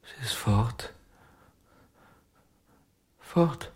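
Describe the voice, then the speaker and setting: An actor in a radio play playing a desperate, broken ventriloquist with a husky, often whispering voice and a halting, pleading manner. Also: male voice, medium pitch, expressive, darkish tone, exaggerated voice. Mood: broken, lost. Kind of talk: German radio play acting.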